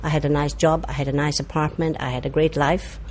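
A woman's voice: deep voice, level tone, brisk, darkish tone, a little hiss or hum. An older woman from Ireland recalling her past in short, plain, wry sentences. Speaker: interviewee. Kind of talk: personal recollection. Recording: music bed under voice.

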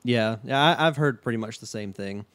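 Smooth-voiced male podcast host. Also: mid-range voice, normal speed. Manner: relaxed, chatty, with frequent restarts. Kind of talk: casual podcast interview.

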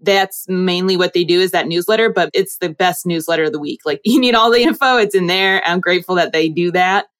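A woman's voice: brisk, hardly any pauses. A woman from New Jersey in her thirties, a bubbly investor talking as a podcast guest, her voice leaping up when she quotes people. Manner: bubbly, animated, jumps up in pitch when quoting people. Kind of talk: podcast interview.

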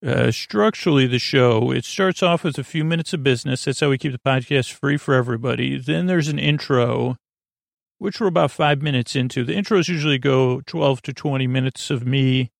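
A man hosting a bedtime podcast in a meandering, halting monologue, often interrupting himself, with a gently soothing, apologetic manner. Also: medium pitch, gravelly, no room sound, studio quality. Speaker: podcast host.

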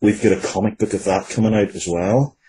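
A man's voice: lowish voice, steady tone, fairly quick, dark tone, poor audio. A man speaking as an interview guest and writer, casually and earnestly, in a reflective way. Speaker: guest, writer of fiction, comics and games.

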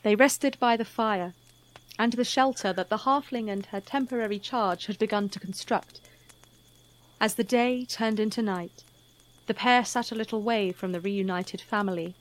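A woman narrating a scripted fantasy story in a measured, dramatic storytelling manner. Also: medium pitch, poor audio.

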